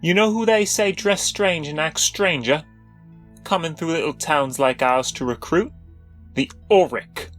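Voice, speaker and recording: A man's voice: on the high side, measured pace, fairly bright tone, soft background music, pitched above the performer's own voice. A man voicing a tall, suspicious farming villager with a confrontational, folksy way of talking, in a fantasy audio story. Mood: accusing, taunting. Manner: confrontational, folksy rural phrasing. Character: suspicious, menacing small-town farmer mage, a tall man.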